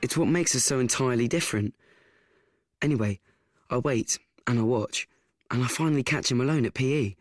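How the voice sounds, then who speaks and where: A man's voice: medium pitch, fairly quick, lightly acted. An actor playing an anxious, awkward schoolboy who narrates his woes in a rambling radio play monologue, correcting and repeating himself. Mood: flustered. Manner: rambling, repetitive, self-correcting.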